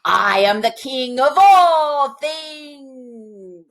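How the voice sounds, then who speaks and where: A woman's voice: sits high, lively intonation, very slow, a bit bright, pitched above the performer's own voice, full character voice. A woman voicing a greedy, hot-tempered, pompous king in a children's storybook, blustering as she speaks. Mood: triumphant, pompous.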